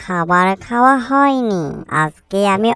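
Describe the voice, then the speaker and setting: A woman voicing a curious, chatty little-world animal friend in a cartoon, speaking in a drawn-out, wide-eyed way. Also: mid-range voice, expressive, a bit bright, smooth. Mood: delighted. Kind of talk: cartoon dialogue. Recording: music behind the voice.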